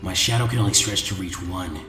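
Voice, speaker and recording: Guy doing a lazy teen ninja tactician, low muttery grumble, fed up, story reading. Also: a little fast, echoey room, in a large hall, music in the background, close to an everyday voice. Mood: calculating. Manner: low-key, exasperated, muttering. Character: lazy, cautious, grumbling teenage boy ninja strategist. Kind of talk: fan fiction audiobook reading.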